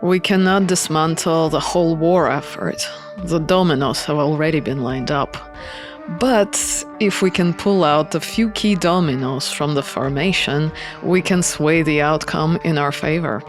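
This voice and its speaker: A woman's voice: low-pitched, unhurried, music in the background, pitched below the performer's own voice. A woman voicing a guarded young man, an earnest rebel schemer in a visual novel read-aloud. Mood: grave, urgent.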